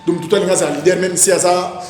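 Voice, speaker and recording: A man with a Congolese accent, an actor being interviewed, talking casually in an animated, rambling way and repeating his phrases. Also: high voice, very fast. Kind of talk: casual interview, recounting career. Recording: very echoey reverberant room, music behind. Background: music.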